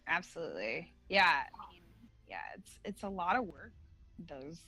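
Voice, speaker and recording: A woman's voice: fairly low pitch, big pitch swings, normal speed, pushed and bright, poor audio. A woman guest on a podcast, telling stories in loose, rambling talk full of fillers and restarts. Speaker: guest, cosplay face model. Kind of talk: casual podcast chat.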